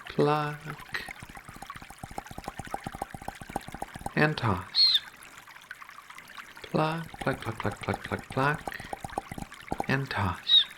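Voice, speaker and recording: A man voicing Ragna's kind of figure, a gentle, caring giant female feline alien researcher, in a soothing, hesitant ASMR roleplay delivery. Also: on the high side, expressive, extremely slow, long pauses, background noise, exaggerated voice.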